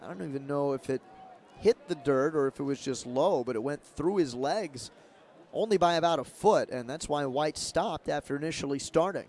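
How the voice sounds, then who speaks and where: A man doing live radio play-by-play of a baseball game, describing the action in short, clipped, measured phrases. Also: a little high, a little mellow. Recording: clear outdoor ballpark noise behind.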